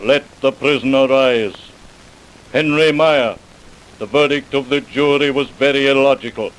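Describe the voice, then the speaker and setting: A man playing a stern, solemn judge in an old-time radio drama, formal and measured. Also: medium pitch, natural voice, rough recording. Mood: stern, grave.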